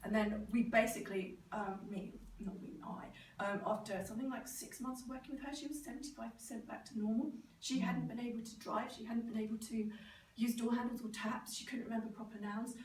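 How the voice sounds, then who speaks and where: British woman, middle-aged, croaky sore throat, higher-pitched voice, informal public talk, animated storyteller, noisy background. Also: a little fast. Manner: animated storyteller, mimics other people's voices. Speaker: speaker, neurodevelopment specialist. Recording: roomy, distant microphone, clear background noise.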